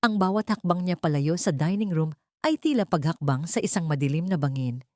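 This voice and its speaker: Babaeng tagapagsalaysay, medyo mababang boses. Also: female voice, lowish voice, lively intonation, fairly quick, mellow, no room sound, clean studio sound. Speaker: story narrator. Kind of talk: dramatic scripted narration.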